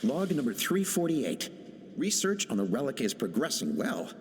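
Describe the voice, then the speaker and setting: Man as an obsessive flight-dreaming inventor in a game, lively delivery, long reverb behind. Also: mid-range voice, normal speed, slight background noise, lightly acted. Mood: intent. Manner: reading journal entries, driven and intent. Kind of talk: video game dialogue.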